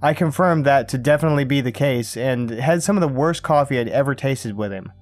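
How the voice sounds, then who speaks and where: Calm man narrating a scary story. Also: mid-range voice, brisk, no echo, soft background music. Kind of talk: scripted horror story narration.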